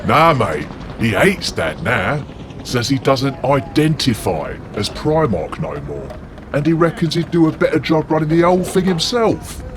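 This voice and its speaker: A man's voice: mid-range voice, a little slow. A man voicing a laconic demigod warrior who gossips dryly. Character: weary laddish male demigod warrior. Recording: steady background noise.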